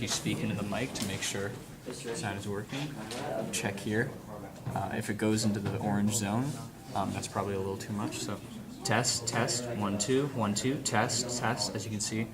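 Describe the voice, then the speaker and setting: A man giving a casual hands-on tutorial in an informal, halting way, thinking aloud as he works as an instructor. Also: fairly low pitch. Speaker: instructor demonstrating audio equipment. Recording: clearly noisy room recording with handling sounds.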